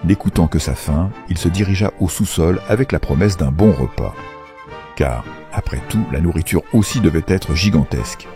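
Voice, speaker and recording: A man narrating a children's fairy tale from a script in an expressive, playful storytelling manner. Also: low voice, normal speed, music in the background.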